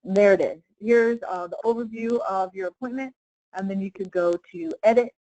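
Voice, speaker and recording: A woman leading a casual live software tutorial as a trainer, explaining step by step in an informal way with frequent check-in questions. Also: medium pitch, moderate pace, dry recording, low-quality recording.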